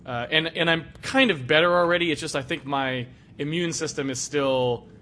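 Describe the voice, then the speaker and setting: Guy with a stuffy cold chatting on a podcast, bright voice, swears a lot. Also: on the high side, normal speed, a little hiss or hum. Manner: animated, swears freely. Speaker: podcast co-host. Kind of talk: casual podcast chat.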